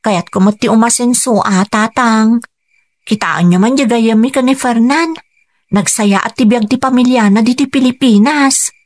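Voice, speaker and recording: A woman's voice: on the high side, normal speed, lightly acted. A woman playing an earnest, determined young daughter in a Philippine radio drama, speaking persuasively over music.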